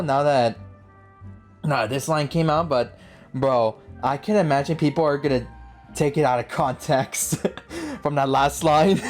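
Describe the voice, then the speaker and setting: A young man giving casual let's play commentary as a gaming host, slangy and often saying bro. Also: on the high side. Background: game music.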